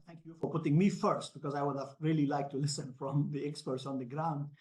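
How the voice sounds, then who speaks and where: Higher-pitched man, halting panel speaker. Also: steady tone, darkish tone. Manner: halting, repeats you know. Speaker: panel guest. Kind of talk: spontaneous panel discussion.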